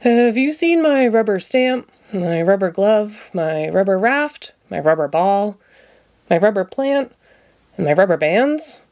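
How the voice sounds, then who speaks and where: A woman's voice: mid-range voice, big pitch swings, a little slow, a little mellow. Lady doing a fussy puppet roommate guy for a picture book, questioning, listing stuff off. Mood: puzzled. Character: fussy male puppet roommate missing his belongings. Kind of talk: children's storybook character voice.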